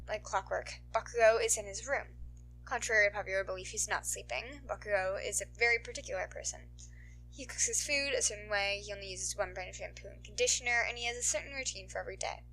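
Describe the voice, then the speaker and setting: Woman, amateur audiobook-style reader, higher-pitched and very bright, expressive storytelling. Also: low-quality recording. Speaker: amateur fan fiction reader. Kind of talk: expressive story narration with casual swearing.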